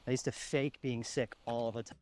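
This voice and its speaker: A man's voice: medium pitch, level tone, a little fast, darkish tone, slight background noise, close to an everyday voice. A man playing a haunted, reflective lone apocalypse survivor, confiding in a plain-spoken found-footage horror monologue. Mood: reflective, wistful.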